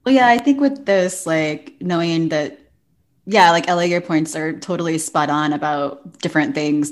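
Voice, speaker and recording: A woman co-hosting a podcast, chatting informally and giving her opinions in a casual panel discussion. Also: sits low. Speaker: library podcast co-host.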